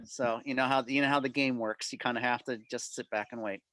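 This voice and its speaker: A man's voice: medium pitch, steady tone, a little fast, a bit bright, dry recording. A man answering club members' questions informally on a video call, explaining things in detail.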